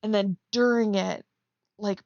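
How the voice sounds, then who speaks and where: A woman's voice: medium pitch, steady tone, measured pace, vocal fry, darkish tone, no room sound, dull audio. A giggly woman casually reading fan fiction aloud and breaking off to comment on it as she streams.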